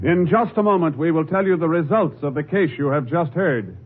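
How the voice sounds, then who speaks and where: A man's voice: a little high, brisk. A man announcing a radio program in a formal, scripted 1950s broadcast style. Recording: narrow-band old radio with loud music.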